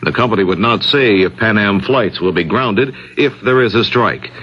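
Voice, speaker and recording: A man's voice: lowish voice, normal speed, husky. An American man reading a scripted radio news bulletin as the anchor. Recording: muffled, noisy 1970s radio broadcast.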